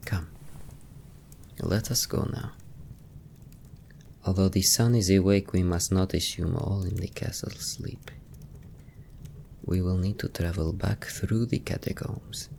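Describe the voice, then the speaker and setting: A man playing a brooding young vampire noble in an ASMR fantasy roleplay, hushed and gravelly, with measured, formal phrasing. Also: deep voice, slight background noise, heavily acted. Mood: hushed, guarded. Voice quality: gravelly, breathy at times. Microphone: close microphone.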